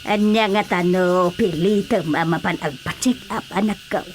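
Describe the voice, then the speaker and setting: A woman playing an older village mother in a radio drama, questioning and gently admonishing her grown son. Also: fairly low pitch, moderate pace, a little hiss or hum, natural voice. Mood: coaxing.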